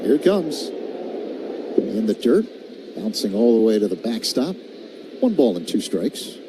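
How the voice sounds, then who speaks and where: A man's voice: lowish voice, takes it slowly. A man doing live radio play-by-play commentary of a baseball game. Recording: steady background noise.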